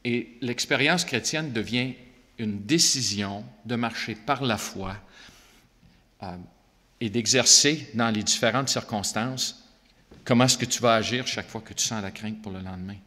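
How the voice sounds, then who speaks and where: A man's voice: mid-range voice, a little slow, sharp tone, long reverb tail. A man with a Québécois French accent, speaking earnestly and conversationally as a Bible school leader answering questions at a church gathering. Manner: earnest, conversational, with frequent fillers.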